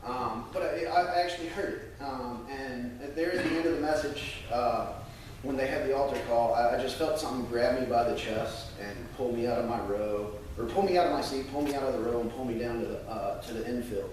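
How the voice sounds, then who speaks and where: An American man speaking earnestly and a little haltingly to a congregation, as a church member sharing testimony and scripture. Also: medium pitch, darkish tone. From United States. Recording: very echoey room, distant mic, faint noise.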